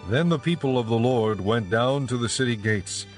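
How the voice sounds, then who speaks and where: A man's voice: mid-range voice, moderate pace, faint music behind. A man reading as the narrator of a scripted, dramatized scripture audiobook.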